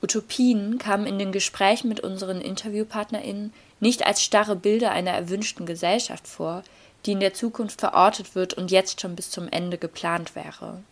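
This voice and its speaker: A woman's voice: medium pitch, steady tone, moderate pace. A woman narrating a documentary from a script in a formal, explanatory manner.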